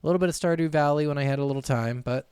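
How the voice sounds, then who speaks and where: A man's voice: on the high side, racing, darkish tone, clear, resonant tone, no room sound. A man co-hosting a podcast, chatting casually about games and swearing freely. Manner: casually profane. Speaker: podcast co-host. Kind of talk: casual gaming podcast chat.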